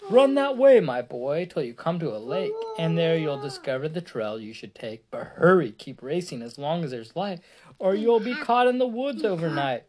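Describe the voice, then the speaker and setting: Grumpy old owl read aloud by a woman, gruff, commanding, lively pitch swings. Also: moderate pace, very noisy. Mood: urgent.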